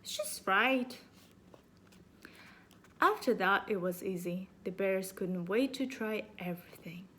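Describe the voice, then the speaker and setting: A woman's voice: mid-range voice, normal speed, few pauses, sharp tone. A woman teacher reading a children's storybook aloud expressively to young pupils. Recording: faint background noise.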